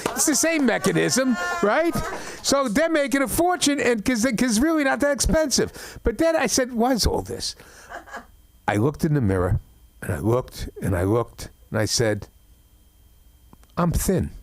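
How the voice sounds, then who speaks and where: A middle-aged man doing an open mic stand-up set, rambling through stories with stammered restarts and deadpan complaints. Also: very high-pitched, a little slow, a little hiss or hum. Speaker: stand-up comedian. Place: comedy club open mic.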